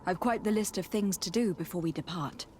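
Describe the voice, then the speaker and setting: A woman's voice: on the low side, a little fast, a little mellow, slight background noise, lightly acted. An actress playing a poised, confident young noblewoman in a video game, formal and polite. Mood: playful. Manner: formal, composed.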